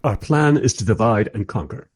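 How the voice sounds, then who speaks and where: An actor playing a mild, polite time-travelling interviewer who narrates his story wryly in a radio play, with a husky voice. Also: fairly low pitch, lively intonation, normal speed, loud music behind the voice, lightly acted. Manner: wry, polite. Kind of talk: first-person radio play narration and dialogue.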